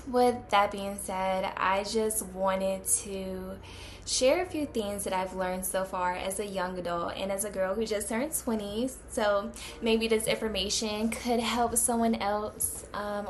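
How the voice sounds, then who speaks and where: A woman's voice: medium pitch, a little hiss or hum. A woman of twenty talking casually to the camera as a vlogger, with many fillers. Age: 20s.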